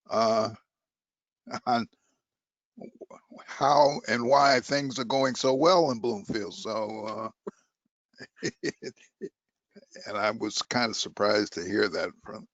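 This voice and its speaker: Halting, slow man on dull meeting audio. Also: fairly low pitch, a bit bright, no echo. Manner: halting, hesitant delivery. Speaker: school board member giving a district update. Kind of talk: informal meeting update.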